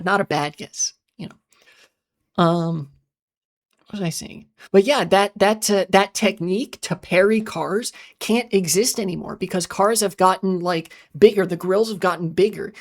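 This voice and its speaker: A woman hosting a casual livestream, her voice slightly raspy and strained from long talking, speaking in halting bursts with many fillers and restarts. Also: mid-range voice. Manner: halting, many fillers and restarts, talks fast then slows. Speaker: livestream host. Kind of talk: casual livestream chat, reading chat and rambling on.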